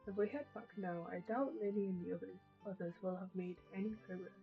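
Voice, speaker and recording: A woman's voice: medium pitch, fairly even intonation, fast, gravelly. A woman streamer chatting casually while playing, reading dialogue aloud with jokey asides. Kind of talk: casual gameplay chat. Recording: dull mic with game music behind.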